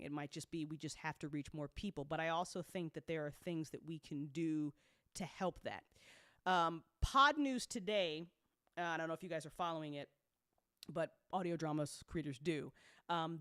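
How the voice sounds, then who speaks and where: Indie audio creator giving a candid business update; medium pitch, informal, emphatic, unfiltered language, dry sound. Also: a bit bright, no room sound. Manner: frank, casual, swears freely. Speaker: audio drama creator and network host.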